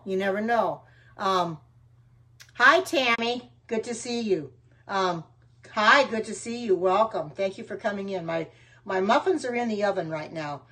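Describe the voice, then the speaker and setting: An older woman chatting casually on a live stream while baking, greeting viewers by name and wandering from topic to topic with homespun sayings. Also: medium pitch. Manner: frequent ums and restarts, homespun sayings. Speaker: home cooking livestreamer.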